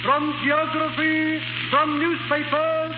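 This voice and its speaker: An actor in a 1930s radio drama playing a grandiose music-hall announcer with a declamatory, projected delivery. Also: male voice, extremely high pitch, little pitch movement, slow, narrow, tinny audio. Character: grandiose music-hall master of ceremonies, proud showman. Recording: echoey hall, thin noisy 1930s radio.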